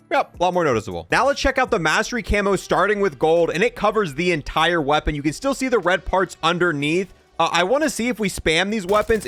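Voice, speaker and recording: Guy doing casual game review commentary, kinda high voice, really up and down, gives his opinions as he goes. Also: fairly bright tone. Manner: informal, opinionated, exclaims while reacting. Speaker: gaming content creator, host.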